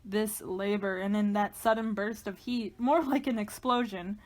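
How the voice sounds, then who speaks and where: A woman's voice: mid-range voice, steady tone, a little slow, a little mellow, close to an everyday voice. Haunted, brooding narrator voiced by a woman. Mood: bleak, resigned. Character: haunted, paranoid narrator unravelling over an obsessive manuscript. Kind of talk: dramatic monologue reading.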